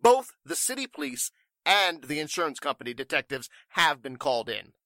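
Pompous company boss, 1940s radio: forceful bright voice, swinging pitch, blustery, shifty. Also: male voice, very animated, moderate pace, no room sound, clean studio sound. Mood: falsely cordial. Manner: gruff bluster, stammering pomposity. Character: blustering, irritable, evasive warehouse boss.

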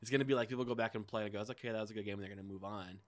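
A man's voice: lowish voice, fairly even intonation, racing, no room sound. A man co-hosting a gaming podcast, chatting casually and giving blunt opinions with repeated phrases for emphasis. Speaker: podcast co-host.

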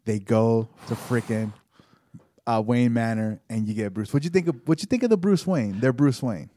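A man's voice: medium pitch, normal speed, dark tone, a little hiss or hum. A man co-hosting a podcast, chatting casually and animatedly, restarting his words and often asking "right?". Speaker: podcast co-host. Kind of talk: casual chat about a TV episode.

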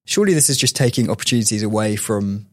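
A man's voice: on the low side, animated, quick pace, no echo, studio quality. A man hosting a podcast, telling stories in a chatty way with frequent laughs. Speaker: podcast host. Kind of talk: casual chat.

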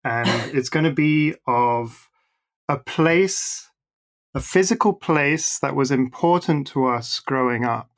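A man's voice: mid-range voice, slow. A young man in casual conversation, chatty and self-deprecating, with hesitant restarts as he recalls growing up abroad. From born in Singapore, raised in the Netherlands and abroad. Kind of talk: casual conversation between friends.

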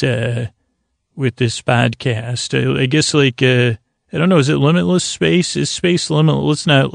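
A man with a creaky voice hosting a bedtime podcast in a languid, meandering, soothing ramble, often stumbling over his words. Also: mid-range voice, dry recording, clean studio sound. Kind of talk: rambling, soothing sleep-aid monologue.

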